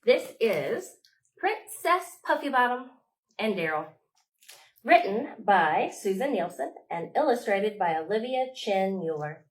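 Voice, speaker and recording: Slow-paced woman reading a children's storytime. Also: mid-range voice, rough recording. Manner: expressive, simple child-directed delivery with dramatic pauses. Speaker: children's librarian leading storytime. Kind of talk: read-aloud storytime for young children.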